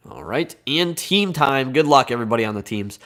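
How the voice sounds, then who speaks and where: A man's voice: mid-range voice, lively intonation, fairly quick, fairly bright tone. A man with a Midwestern American accent hosting a live card break, slightly congested from a cold, chatty and upbeat as he reads out names and teams.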